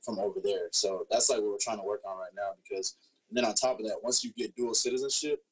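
Low, even-toned, husky young man. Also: quick pace, rough recording. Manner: informal, explains step by step. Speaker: interview guest, professional basketball player. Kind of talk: casual explanation.

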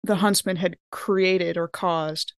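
A woman playing an anxious, blunt investigator in a tabletop game, talking in halting, informal slang. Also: on the low side, steady tone, clear, resonant tone, dry recording, clean studio sound. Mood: explanatory. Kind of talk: improvised in-character tabletop dialogue.

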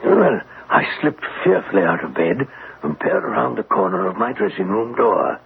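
A man's voice: on the low side, expressive, normal speed, vocal fry. An actor playing a stern, anguished middle-aged father with formal, theatrical delivery in an old radio drama. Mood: fearful, grave. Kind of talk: vintage radio drama acting. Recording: tinny, hissy old radio recording.

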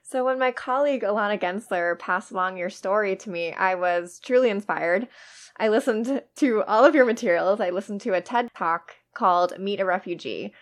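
A woman's voice: mid-range voice, moderate pace. Woman hosting a podcast, polished read. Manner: polished, reads from script. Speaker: podcast host. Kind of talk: scripted podcast intro and interview.

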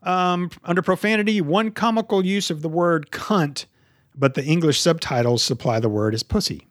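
A man's voice: a little high, normal speed, no echo, studio quality. A man in his fifties hosting a movie podcast, chatting casually and swearing freely. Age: 50s. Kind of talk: casual, profane podcast chat.

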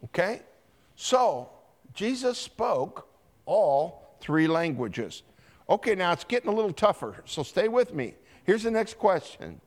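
A man, a pastor, leads a slow, deliberate Bible lesson in church. His voice sits a bit high and swings widely in pitch. He keeps tossing quiz questions to the congregation and calling people by name.

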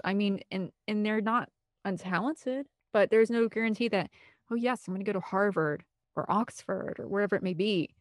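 Woman being interviewed, rambly and opinionated, mid pitch, really dry close sound. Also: dry recording. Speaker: interview guest, film composer and director. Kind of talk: candid interview conversation.

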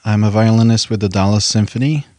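A man from Poland with a lowish voice, the sort of musician guest heard reminiscing on a closely miked podcast. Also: fast talker, a little mellow, no room sound. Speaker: orchestral violinist, podcast guest. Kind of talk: personal anecdote, conversational.

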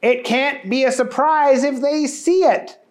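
A man voicing a goofy, bumbling male cook with a high, excited, silly voice in a storybook. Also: measured pace.

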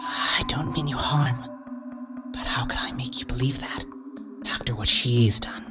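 A frail, mournful presence in a storybook played by a male narrator speaks in a strained whisper. It is gentle, hesitant and fearful, pitched up into a small soft voice, with music playing behind it. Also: a little slow, natural voice. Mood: apologetic, gentle. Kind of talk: audiobook character voice.

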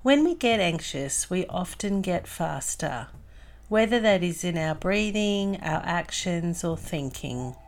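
A woman leading a guided meditation as host, calm and soothing, in deliberate phrases with long pauses. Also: lowish voice.

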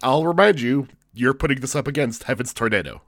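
A man's voice: medium pitch, lively intonation, brisk, no echo. A man co-hosting a podcast in casual chat, congested from the flu and talking in rambling, self-interrupting, jokey bursts. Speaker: podcast co-host.

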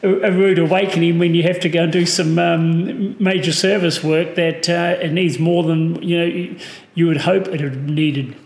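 A man with a New Zealand accent whose voice sits a little on the high side. He talks in a halting way, full of ums and restarts. The sound is roomy and echoey. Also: fairly even intonation, creaky, a little mellow. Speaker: podcast guest, car owner. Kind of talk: casual podcast chat.

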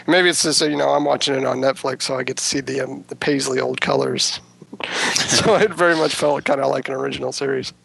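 Creaky-voiced male podcast co-host. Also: mid-range voice, fairly even intonation, fairly quick, fairly bright tone, dry recording. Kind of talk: casual discussion, some read summary.

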